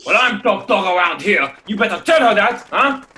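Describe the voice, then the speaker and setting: A man's voice: high-pitched, expressive, normal speed, sharp tone, exaggerated voice. A man voicing a proud, grumbling ugly duckling in an irreverent radio play. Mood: indignant. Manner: grumbling, boastful, peppers speech with French. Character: proud, indignant ugly duckling who refuses to be swan.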